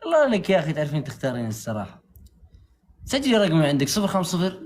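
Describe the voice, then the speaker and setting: A man's voice: a little high, racing. A man from Saudi Arabia with a Saudi accent, bantering casually and jokingly on a livestream. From Tabuk, Saudi Arabia. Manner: joking, animated.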